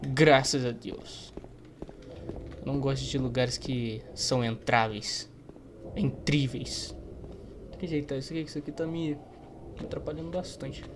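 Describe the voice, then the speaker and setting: A man's voice: medium pitch, fairly even intonation, normal speed, pauses often. A young Brazilian man giving casual, slangy, joking gameplay commentary in a rambling way into a close desk microphone. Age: young adult. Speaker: gaming streamer. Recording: noisy, game ambience and typing. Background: game ambience, keyboard typing.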